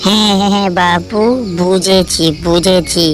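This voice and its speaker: A woman's voice: fairly low pitch, steady tone, normal speed, natural voice. A woman voicing a deferential older male household servant in a cartoon story, speaking in a repetitive, obedient way. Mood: obedient, grave. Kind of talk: Bengali cartoon story dialogue. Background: loud background music.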